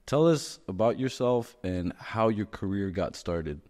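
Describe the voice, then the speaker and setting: Mellow, low-voiced podcast host man. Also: lively intonation, a little slow, in a large hall. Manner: friendly, encouraging, calls guest man. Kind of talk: podcast interviewing.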